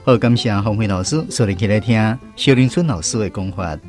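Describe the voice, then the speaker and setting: A man's voice: on the low side, a little fast, darkish tone, soft background music. Taiwanese man hosting a radio language lesson.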